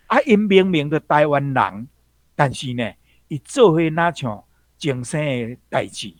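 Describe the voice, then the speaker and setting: A man's voice: a little high, expressive, unhurried, dry recording, clean studio sound. A man with a Taiwanese accent giving explanatory commentary on a piece of literature.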